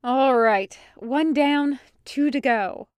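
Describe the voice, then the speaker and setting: Woman playing a panicky, high-pitched male adventurer. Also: slow, clean tone, no echo, clean studio sound. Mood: upbeat. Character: panicky, exasperated adult male adventurer hero. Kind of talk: audiobook character acting.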